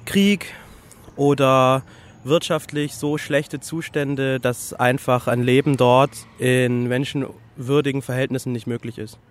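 A man's voice: medium pitch, steady tone, unhurried. A man giving an earnest opinion in a short vox pop answer, stumbling a little.

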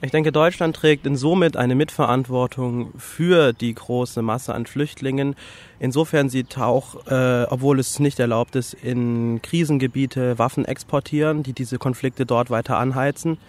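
A man's voice: medium pitch, steady tone, measured pace. A man giving an earnest opinion in a short vox pop answer, stumbling a little.

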